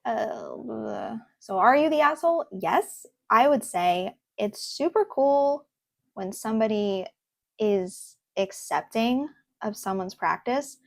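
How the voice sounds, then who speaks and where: A young woman hosting a casual reaction video from her desk at home, chatty and earnest, pausing often with ums. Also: a little high, lively intonation, creaky, no echo, studio quality. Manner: chatty and earnest, with fillers and pauses. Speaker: host. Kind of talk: casual reaction video, reading posts aloud.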